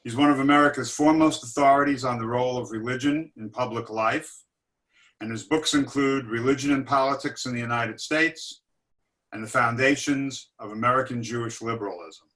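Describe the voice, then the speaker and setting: Male academic host doing a formal event intro, measured wording, bright forceful voice. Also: mid-range voice, steady tone. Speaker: academic director introducing a lecture. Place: online webinar.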